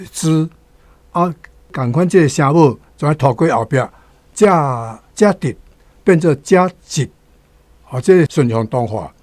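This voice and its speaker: 一位男老師以台語口音逐字示範發音與變調。. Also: male voice, medium pitch, a little mellow, dry recording. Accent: Taiwanese Hokkien. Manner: deliberate, demonstrating sounds. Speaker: language teacher. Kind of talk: pronunciation lesson.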